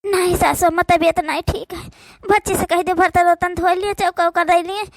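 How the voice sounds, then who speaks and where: A woman's voice: very high-pitched, steady tone, fairly quick, no echo, studio quality. A woman with an Awadhi accent acting out a rural family drama for a cartoon in colloquial, complaining village speech. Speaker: cartoon voice performer.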